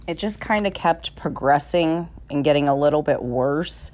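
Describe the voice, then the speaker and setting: Woman, nasal, congested, chatty vlogger, lowish voice, home kitchen. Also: normal speed, darkish tone. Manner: bubbly and talkative, many fillers. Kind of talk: casual chatty vlog.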